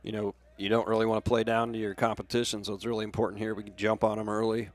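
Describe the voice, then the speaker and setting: A man's voice: fairly low pitch, flat delivery, fast talker. An American man giving casual baseball analysis as a radio color commentator.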